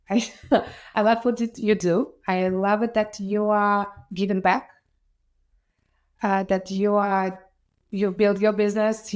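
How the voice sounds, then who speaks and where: A woman's voice: medium pitch, a little mellow. Upbeat Ukrainian-accented woman guest. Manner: enthusiastic, many fillers and restarts, laughs often. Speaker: interview guest, entrepreneur. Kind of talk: casual interview chat.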